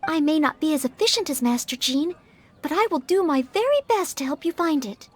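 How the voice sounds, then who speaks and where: A woman voicing a gentle, earnest young maid-knight girl in a video game, speaking politely and formally. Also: high voice, animated, brisk.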